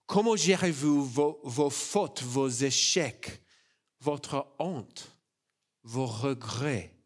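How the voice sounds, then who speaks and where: A young man with an Australian accent, speaking French as a church preacher in a relaxed, personal way with many fillers and restarts. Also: medium pitch, very slow, slightly rough. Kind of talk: sermon.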